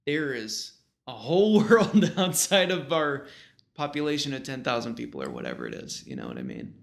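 Gravelly, casual American man in his thirties. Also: mid-range voice, very animated, normal speed. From small-town western New York, United States. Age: 30s. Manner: informal, rambling, peppered with slang. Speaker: guest. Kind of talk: casual conversational storytelling.

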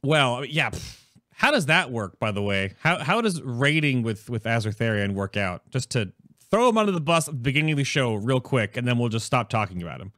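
A man's voice: medium pitch, sing-song, brisk, a bit bright, no echo, clean studio sound. A man hosting a casual gaming show in a chatty way, with joking asides and self-interruptions. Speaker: podcast and stream host. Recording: music playing behind the voice. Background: background music.

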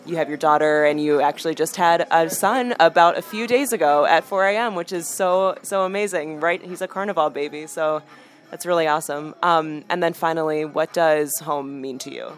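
A man's voice: a little high, normal speed, fairly bright tone, soft background music. A man interviewing someone for a travel piece, asking questions in an upbeat, chatty way with frequent "like" and "you know".

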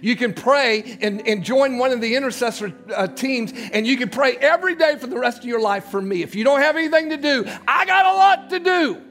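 A male pastor preaching a sermon, swinging between loud shouts and hushed low passages and repeating words as he builds. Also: animated, fairly quick, few pauses.

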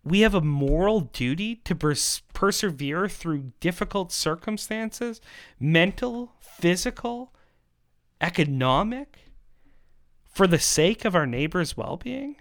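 A man giving a persuasive monologue in an emphatic, rhetorical manner, with dramatic pauses and repetition. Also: high-pitched, animated, no room sound. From Canada. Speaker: podcast host and pro-life activist.

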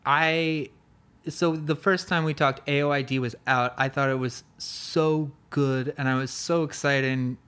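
Slow, rambling man hosting a chat. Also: fairly high pitch, fairly even intonation, fairly bright tone, smooth. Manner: rambling, searching for words, swears casually. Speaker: podcast host. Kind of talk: casual interview chat.

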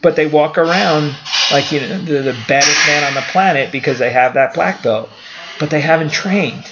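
A man's voice: fairly high pitch, moderate pace, muffled recording, background noise. A man in his forties talking in a casual podcast conversation, blunt and opinionated, swearing now and then. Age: 40s. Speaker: karate school owner, guest.

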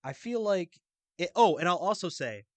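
A man's voice: sits high, very animated, moderate pace, dry recording. A man on a podcast panel, talking informally in a loose, rambling way. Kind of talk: casual chat.

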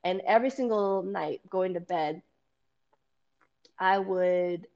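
A woman jiu-jitsu coach with a loud, carrying voice, chatting casually, laughing often and acting out what people said. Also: lowish voice, takes it slowly, lo-fi sound. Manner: animated, laughs often, acts out quoted dialogue. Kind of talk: casual podcast chat.

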